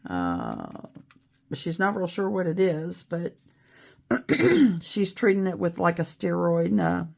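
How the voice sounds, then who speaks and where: A middle-aged woman with a raspy voice after an illness, chatting casually and rambling a little while she shows how to make a beaded bracelet. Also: on the low side, big pitch swings, takes it slowly, darkish tone. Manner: chatty, rambling, self-deprecating. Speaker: beading video host. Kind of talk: casual tutorial chat.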